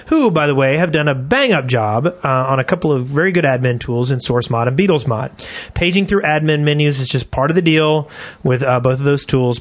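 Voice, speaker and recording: Male podcast co-host, slightly high voice, informal, at ease with reading mail aloud and chatting. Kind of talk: casual chat and reading listener email aloud.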